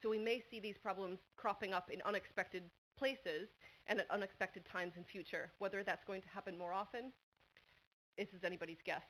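A woman in her thirties from New England, with a New England American accent, giving a quick, chatty conference talk full of ums at a panel desk mic. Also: medium pitch, fairly even intonation, a bit bright, slight background noise, rough recording. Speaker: conference speaker. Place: large university lecture hall. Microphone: table microphone at a panel desk.

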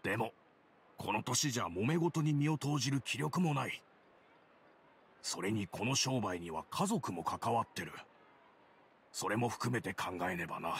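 An actor playing a weary, cautious older male business partner in a game, speaking in a measured, admonishing way. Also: medium pitch, gravelly, a little hiss or hum. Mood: weary, reluctant. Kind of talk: Japanese game voice acting.

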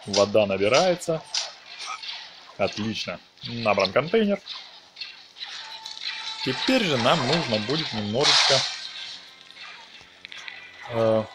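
A man's voice: on the low side, low-quality recording. A man hosting a gaming video, commenting casually on his own play in short phrases. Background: game music.

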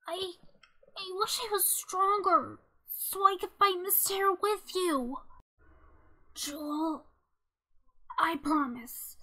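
A woman's voice: very high-pitched, sharp tone, full character voice, low-quality recording. A woman voicing an earnest, timid young boy angel in an emotional, hesitant way for a character voice reel. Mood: grieving, resolute. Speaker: amateur voice actor.

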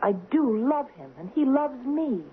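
An actress playing an earnest, hesitant young schoolteacher in love, in a 1970s radio drama. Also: female voice, high-pitched, expressive, a little slow. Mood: firm, pleading. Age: young adult. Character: earnest young schoolteacher, a woman engaged to a rich heir. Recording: muffled, noisy 1970s radio broadcast.

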